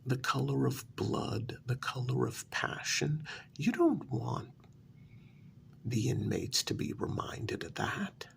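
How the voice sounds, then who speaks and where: A man playing a smug, deluded asylum inmate in a soft-spoken ASMR role-play, breathy and half-whispered, talking down to his listener in a halting, condescending way. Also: medium pitch, slight background noise, heavily acted. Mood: musing. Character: deluded male asylum inmate, smugly convinced he created the world.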